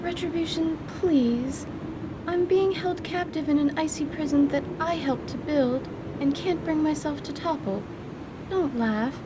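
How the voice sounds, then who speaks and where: A woman playing an awkward, easily embarrassed young female mage in an audio drama, with halting, formal, precise wording. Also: sits high, background noise. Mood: embarrassed pleading.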